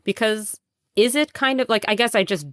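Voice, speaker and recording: A woman's voice: on the high side, moderate pace, no room sound, studio quality. A woman in her thirties hosting a casual interview, chatty and full of "like" and "you know.". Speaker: interviewer, podcast host.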